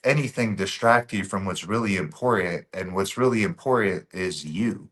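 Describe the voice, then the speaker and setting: A man's voice: low voice, normal speed, a bit bright. Earnest man, repeating his points. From Bosnia. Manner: earnest and emphatic, repeats key phrases. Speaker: podcast guest. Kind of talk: casual podcast conversation.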